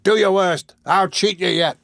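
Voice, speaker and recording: A man voicing a brutal, desperate murderer in a storybook reading, speaking roughly and urgently. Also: high-pitched, steady tone, a little slow, fairly bright tone, dry recording, studio quality, pitched above the performer's own voice, full character voice. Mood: defiant. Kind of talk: audiobook character voice.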